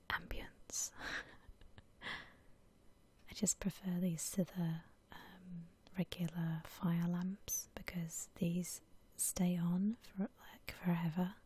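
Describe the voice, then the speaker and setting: A woman whispers her way through a game in ASMR style. Her voice is very breathy and almost unvoiced, soft and mellow in tone. She takes her time, relaxed and gentle, with slow phrasing. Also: on the low side. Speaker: video game streamer narrating her building session.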